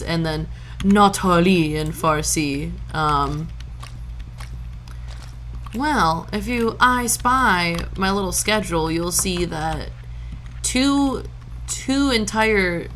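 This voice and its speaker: A woman from Brazil chats through a farming game stream in an unhurried, slightly low voice. She goofs around with nonsense boops and mock-archaic jokes. Soft keyboard clicks sit faintly underneath. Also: a little hiss or hum. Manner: playful, sings little nonsense sound effects; jokey mock-archaic asides. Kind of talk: casual gameplay chatter.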